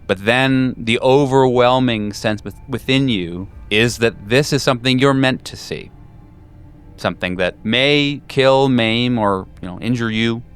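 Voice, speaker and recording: Clear, smooth man hosting a horror game. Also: mid-range voice, measured pace, fairly bright tone, soft background music. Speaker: game master and host.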